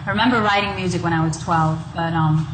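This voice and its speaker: A woman's voice: on the low side, fairly even intonation, fast talker, background noise. A woman from Iceland, talking casually in an interview as a singer-songwriter. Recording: echoey room, music behind. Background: music.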